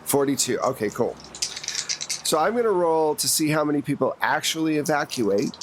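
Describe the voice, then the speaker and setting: A man's voice: on the high side, expressive, normal speed, noisy background. A man acting as game master, narrating a tabletop roleplaying game in a casual style.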